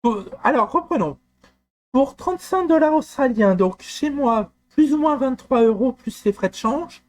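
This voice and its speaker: A man from France talking through a software walkthrough, hesitant with frequent fillers and restarts. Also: very high-pitched. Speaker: software tutorial presenter. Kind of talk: screen-recorded walkthrough.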